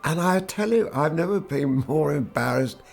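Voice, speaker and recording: An older man, a guitarist, telling anecdotes from his life in a relaxed, wry, conversational way. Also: fairly high pitch, normal speed, vocal fry. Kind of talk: reflective anecdotal storytelling.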